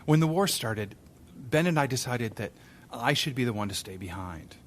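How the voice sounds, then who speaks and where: An actor playing a restless former adventurer who talks in a reflective, wistful way in an audio drama. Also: male voice, medium pitch, expressive, moderate pace, a little hiss or hum, close to an everyday voice.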